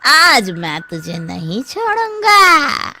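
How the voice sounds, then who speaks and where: A woman voicing a sly, vengeful village man who gloats and threatens in an animated Hindi story. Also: sits high, slow pace, full character voice. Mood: menacing. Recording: faint background noise.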